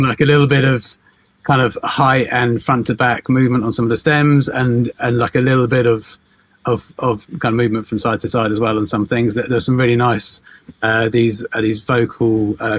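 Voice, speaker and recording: Male panel guest, technical type: raspy, even-toned, hesitant, feeling his way through explanations. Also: mid-range voice, dry recording. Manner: hesitant, halting, repeats words. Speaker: audio mixer interviewed on a panel.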